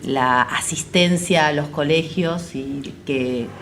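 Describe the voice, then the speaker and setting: An Argentine woman talking reflectively and conversationally about a creative project, often halting as she searches for words. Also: deep voice, fairly bright tone, slight background noise. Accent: Argentine Spanish. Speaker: theater artist and educator. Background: music behind the voice.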